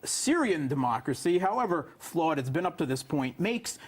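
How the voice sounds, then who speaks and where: Male pundit, explanatory and halting, rough-edged voice sitting a little above average pitch. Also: big pitch swings. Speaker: panel guest, political commentator. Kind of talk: political commentary in discussion.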